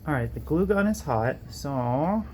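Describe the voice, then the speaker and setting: A man's voice: on the high side, lively intonation, measured pace, darkish tone, a little hiss or hum. A man hosting a casual workbench build video, talking in a rambling, self-deprecating way. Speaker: electronics hobbyist host.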